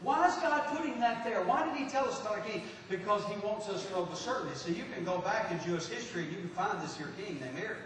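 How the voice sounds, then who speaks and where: A man from Texas with a Texan accent preaching a folksy sermon, repeating and restarting words with loud and soft swings. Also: high-pitched, lively intonation, quick pace, few pauses. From Texas, USA. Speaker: pastor. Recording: echoey hall from far off, faint noise.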